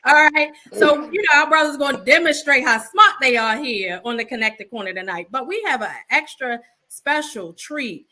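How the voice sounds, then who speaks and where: A woman hosting a live online interview show, lively and upbeat, talking straight to her viewers. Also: high-pitched, moderate pace, few pauses, a little hiss or hum, rough recording. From Baltimore, USA. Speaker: show host.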